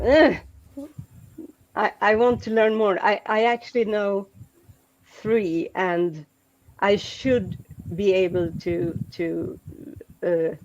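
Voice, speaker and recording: Slow hesitant Swedish woman, lo-fi. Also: mid-range voice, darkish tone, a little hiss or hum. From Sweden. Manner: hesitant, many restarts and fillers. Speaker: guest. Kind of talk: casual chat.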